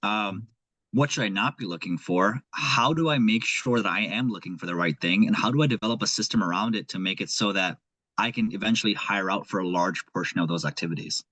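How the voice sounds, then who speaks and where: Young nepali accent guy, kinda low voice, earnest, thinks out loud, dry close sound. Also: brisk, no echo. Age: young adult. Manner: earnest, animated, thinks aloud. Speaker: guest, aspiring investor. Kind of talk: casual conversation.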